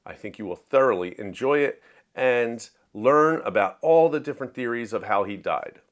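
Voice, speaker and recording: A man's voice: mid-range voice, expressive, normal speed. A man presents books in a casual, conversational style, as a host talking to viewers. His delivery is plainspoken and opinionated, with a mid-range voice. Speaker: host of a book review show. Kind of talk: casual scripted-outline book review to camera.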